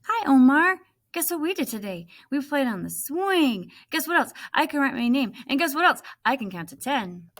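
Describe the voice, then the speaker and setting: A woman voicing an excited, chatty and sweet preschool-age girl in a storybook read-aloud. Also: high voice, normal speed, few pauses, pitched above the performer's own voice. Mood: excited, proud.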